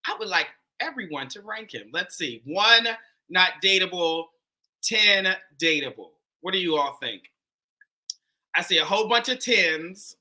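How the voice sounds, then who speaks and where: A man with a Pittsburgh accent hosts a live call-in dating show, loud, animated and chatty. His pitch swings widely, and his tone is very bright and forceful. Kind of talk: casual live-stream chat.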